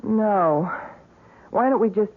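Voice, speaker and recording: A woman's voice: medium pitch, slow. Curious young radio-drama girlfriend. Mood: uneasy. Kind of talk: old-time radio drama acting. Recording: muffled vintage radio broadcast, faint noise.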